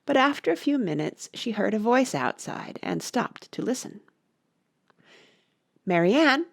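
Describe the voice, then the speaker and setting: A woman's voice: on the high side, sing-song, moderate pace, creaky, dry recording, clean studio sound. A woman narrating a children's storybook expressively as an audiobook reader.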